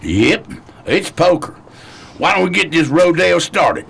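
A man acts out a young American cowboy in a radio drama. His voice is heavily fried and gravelly, with a brash, folksy swagger. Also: on the high side, very animated, measured pace, a bit bright, heavily acted. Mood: folksy, eager. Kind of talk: radio play acting.

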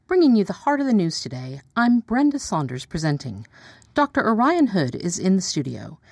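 A slick, probing news anchor, played by a woman, with a very animated broadcaster's lilt. The sound is dry and close. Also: mid-range voice, no echo. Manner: brisk, probing broadcaster delivery. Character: slick female TV news presenter. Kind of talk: radio drama acting.

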